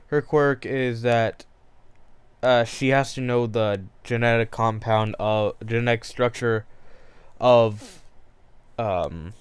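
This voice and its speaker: A man's voice: medium pitch, slow pace, clean tone, no room sound. A man improvising a fan story aloud, rambling with frequent ums, restarts and asides. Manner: rambling, full of ums and self-corrections.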